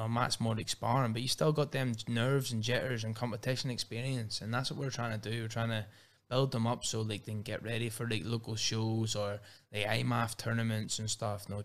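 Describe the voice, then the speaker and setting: A man with a Northern Irish accent, a mixed martial arts fighter talking casually in an interview, rambling with frequent restarts and fillers. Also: mid-range voice. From Northern Ireland. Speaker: MMA fighter and guest.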